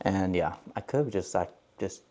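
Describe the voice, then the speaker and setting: A man's voice: low-pitched, steady tone, normal speed, mellow. Rambling young man chatting while he cooks. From grew up speaking Russian, learned English at eight. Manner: rambling, many restarts. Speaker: vlogger cooking on stream. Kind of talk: casual cooking chat.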